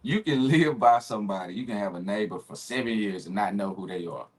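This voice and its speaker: A man in his forties from Arkansas with a Southern accent, a guest telling stories in a relaxed, easygoing way that breaks into laughter. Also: lowish voice, fairly quick, slightly rough. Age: 40s. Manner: relaxed storytelling, laughs easily. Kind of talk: casual interview conversation.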